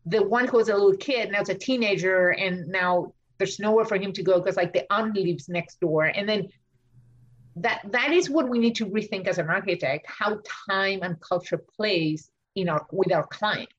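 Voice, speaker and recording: A woman with an Argentine Spanish accent speaks at a middling pitch, thinking aloud in a loose, searching way and circling back on her sentences. The recording is a little dull and muffled. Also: normal speed. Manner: thinks aloud with many fillers and restarts. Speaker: architect and author. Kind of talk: podcast interview. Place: home studio in Washington DC.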